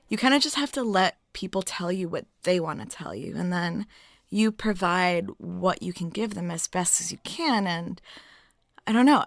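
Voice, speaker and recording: A woman playing a flirty, lonely, talkative waitress in an intimate roleplay, chatting softly and casually and sometimes dropping near a whisper. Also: medium pitch, no room sound, close to an everyday voice. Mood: hesitant, caring. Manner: soft, rambling, giggly, drops into near-whispers. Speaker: audio roleplay performer.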